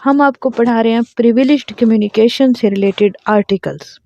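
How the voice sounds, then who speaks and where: A woman teaching law, reading each statute out slowly, word by word, and then explaining it in plain terms. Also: on the high side, creaky, warm tone, muffled recording. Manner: reads English legal text slowly word by word, then explains in Urdu. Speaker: law lecturer.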